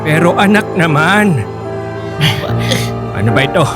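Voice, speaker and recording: A middle-aged father over loud music in a Filipino radio drama. His voice sits a little high for a man. He is blunt, judgmental and quick to scold. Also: animated. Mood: disapproving.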